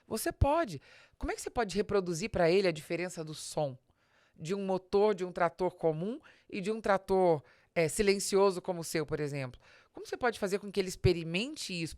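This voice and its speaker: Low-pitched Brazilian woman explaining business ideas. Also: expressive, a little fast, a little mellow, no echo, clean studio sound. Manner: didactic, rhetorical questions, emphatic stresses. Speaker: podcast host and business advisor. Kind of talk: explanatory business talk addressed to the listener.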